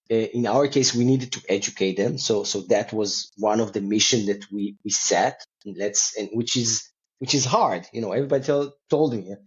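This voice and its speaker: Man, interview guest, conversational, non-native English phrasing, medium pitch, slightly dull recording. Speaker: company co-founder and CEO, podcast guest. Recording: slightly dull, band-limited audio.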